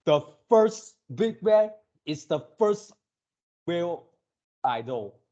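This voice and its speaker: Man, casual reaction host, excitable, slow halting delivery, highly varied intonation. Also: dull audio. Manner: excitable, gushing. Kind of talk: casual fan reaction commentary.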